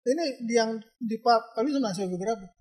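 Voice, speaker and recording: A man's voice: very high-pitched, a little fast, darkish tone. A man from Indonesia, a photographer guest, telling rambling stories in a casual interview with many fillers. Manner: chatty, rambling storyteller with frequent fillers.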